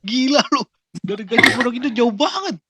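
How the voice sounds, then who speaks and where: A man's voice: very high voice, lively intonation, fairly quick, noisy background. A man hosting a podcast interview. He chats casually, exclaims often and laughs a lot. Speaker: podcast host.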